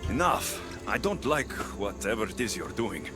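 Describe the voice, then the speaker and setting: An actor playing a blunt, firm warrior hero serving as a judge in a video game. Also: male voice, lowish voice, big pitch swings, moderate pace. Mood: stern. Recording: game soundtrack music underneath.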